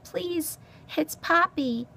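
A woman's voice: drawn-out words, gravelly, sharp tone, full character voice. A woman voicing a small, timid young female creature in a storybook, plaintive and pleading. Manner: high, plaintive, stammering pleas.

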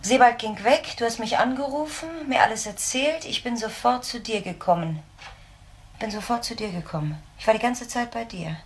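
An actress playing a determined, protective social worker in a radio crime drama, speaking urgently and insistently. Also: female voice, a little high, normal speed, lightly acted. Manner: urgent, insistent, repeating key phrases. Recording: noisy radio drama recording with ambience.

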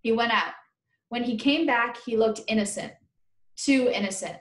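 American woman, teacher read-aloud, fairly high voice, simple questions to young listeners, roomy webcam sound. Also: moderate pace, fairly bright tone. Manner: explains and asks listeners questions in simple words. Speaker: teacher reading aloud to pupils. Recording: roomy, echoing webcam recording.

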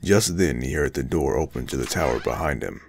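Extremely deep, gravelly male narrator. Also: expressive, a little fast, slight background noise. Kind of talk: scripted story narration. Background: faint background music.

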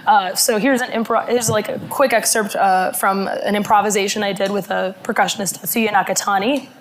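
Hoarse woman giving a conference talk. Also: medium pitch, fairly even intonation, a crowd in the background. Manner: halting, with fillers. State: hoarse from laryngitis. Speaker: conference speaker. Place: conference room.

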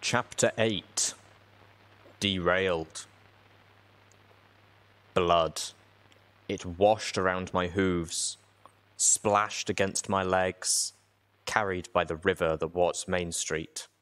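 Low-voiced man narrating a story. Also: fairly even intonation, extremely slow, pauses often, a bit bright, clear, resonant tone. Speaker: audiobook narrator. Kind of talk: dramatic first-person story reading.

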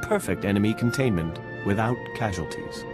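An actor playing a proud, defensive scientist in a video game, lecturing with self-assurance. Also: male voice, lowish voice, animated, moderate pace, lightly acted. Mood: boastful. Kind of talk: video game cutscene acting. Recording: loud music behind voice.

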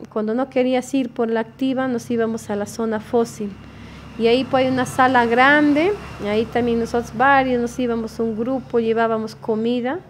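A woman's voice: a little high, a little mellow. A middle-aged woman, casually telling her memories as a local resident. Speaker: local resident giving testimony. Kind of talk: casual spoken reminiscence.

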